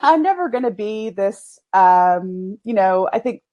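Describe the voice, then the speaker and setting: A woman in her forties with an East Texas accent, a guest talking candidly and folksily in a casual interview, quick to laugh. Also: mid-range voice, expressive, highs cut off. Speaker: agency owner, guest.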